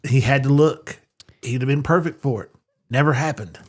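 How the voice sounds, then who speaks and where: Regular guy, medium pitch, hanging out talking movies on a podcast. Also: normal speed. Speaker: podcast panelist. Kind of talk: casual group chat about movies.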